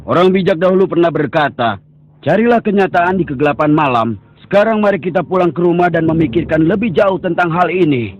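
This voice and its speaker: Man plays calm, persuasive elder, quick talker, slightly dull sound. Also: a little high, natural voice. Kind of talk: dubbed cartoon acting.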